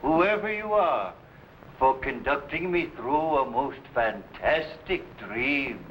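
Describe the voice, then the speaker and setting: An actor playing an awestruck, courteous Renaissance inventor in a theatrical, formal, wondering manner, in the style of a 1950s TV sci-fi serial. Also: male voice, mid-range voice, animated, slow, highs cut off, a little hiss or hum. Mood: earnest, grateful.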